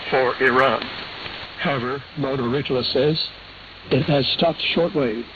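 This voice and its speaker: A man's voice: medium pitch, highs cut off. A man hosting a radio program, reading a scripted shortwave news bulletin in a matter-of-fact way. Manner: matter-of-fact, spells out letters and frequencies. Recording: hissy, band-limited AM shortwave broadcast.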